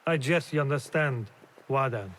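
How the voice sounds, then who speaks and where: A man's voice: mid-range voice, moderate pace, fairly bright tone, a little hiss or hum, close to an everyday voice. A man playing a proud, insistent craftsman in a video game, speaking emphatically. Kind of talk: cinematic game acting.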